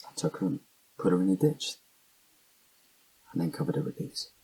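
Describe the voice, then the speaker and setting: An actor with a British accent playing a volatile, defensive suspect under interrogation, husky and faltering, in an audio drama. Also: male voice, deep voice, lively intonation, moderate pace, dark tone, exaggerated voice, rough recording. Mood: distraught, confessing.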